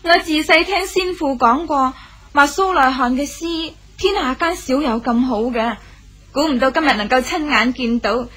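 An actress playing a lively, frank young orchard girl, polite and chatty, in a radio drama. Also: high-pitched, normal speed. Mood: admiring. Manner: eager, chatty. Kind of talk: Cantonese radio drama acting.

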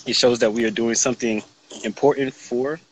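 A man's voice: medium pitch, fairly even intonation, brisk, a little hiss or hum, poor audio. A man in his forties hosting a live video call chat, upbeat and laughing often, talking into a phone held at arm's length outdoors. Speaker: host. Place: outdoors in a sunny yard.

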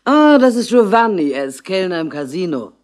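An actress playing a boozy, sentimental, middle-aged barmaid in a radio crime drama, drawling and maudlin. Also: female voice, lowish voice, big pitch swings, normal speed, a little mellow. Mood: chatty. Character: boozy, sentimental ageing barmaid, lonely and chatty. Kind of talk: 1960s German radio crime drama acting.

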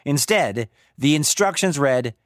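A man's voice: fairly high pitch, lively intonation, a little slow, a little hiss or hum. A man narrating a story for children as a podcast host, playful and wry, with dramatic emphasis. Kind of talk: animated storytelling narration of Greek myths for children.

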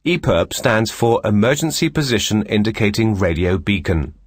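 Male instructional narrator, lower register, measured formal delivery, very dry close studio sound. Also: expressive, no room sound.

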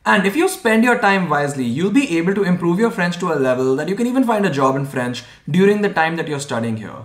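A man's voice: high-pitched, big pitch swings, fast. Earnest young man explaining in a roomy space. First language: English. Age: young adult. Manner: clear, earnest explainer, lists points in order. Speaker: vlogger. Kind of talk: informative talking-head vlog. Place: small indoor room by a window. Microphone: camera framed at chest level.

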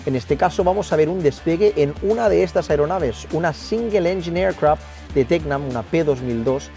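Young male vlog host, Spain accent, fast delivery, mellow tone over light music. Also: fairly high pitch, vocal fry. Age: 20s. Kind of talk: presenter introduction to camera.

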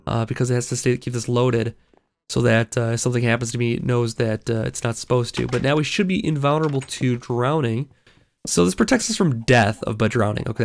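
A man's voice: medium pitch, gravelly. A man hosting a gaming video, talking casually through his play while getting over a cold, thinking aloud with lots of fillers. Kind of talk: casual let's play commentary.

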